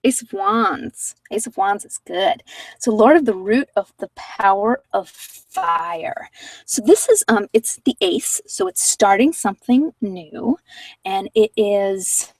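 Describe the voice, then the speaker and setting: A woman giving a casual, halting tarot reading and chatting with viewers as she thinks aloud. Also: mid-range voice, expressive, dry recording, recorded from a distance, low-quality recording. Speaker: host of a live card-reading session.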